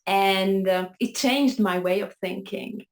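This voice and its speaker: A woman's voice: medium pitch, takes it slowly. A woman from Hungary with a Hungarian accent, a piano teacher talking earnestly about her path as an interview guest over a video call. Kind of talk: conversational storytelling.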